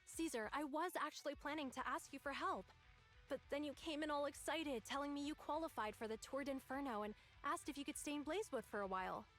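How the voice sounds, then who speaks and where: A woman's voice: high-pitched, fairly quick, fairly bright tone, faint music behind. An actress playing an earnest, apologetic young townswoman in a video game, speaking sincerely and pleadingly.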